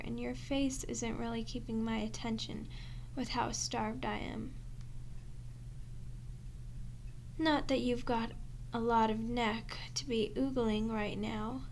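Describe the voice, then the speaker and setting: Captive vampire woman, played by an actress, ASMR roleplay: slow, lightly high voice, proud, flirty sarcasm sinking into breathy murmurs. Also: steady tone, darkish tone, slight background noise. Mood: sarcastic, hungry. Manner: teasing sarcasm, often dropping to breathy murmurs. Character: starving captured vampire woman, sardonic, flirtatious, proud, menacing.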